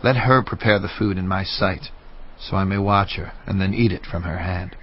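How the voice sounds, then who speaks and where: An actor playing a shrewd, scheming royal adviser in a dramatized audiobook. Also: male voice, low-pitched, moderate pace. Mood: sly, coaxing. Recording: slightly dull audio with music bed.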